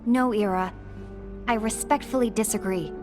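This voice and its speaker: A woman voicing a composed, diplomatic mediator in an animated fantasy film, with a poised and formal manner. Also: medium pitch, normal speed, music in the background, close to an everyday voice. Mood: firm.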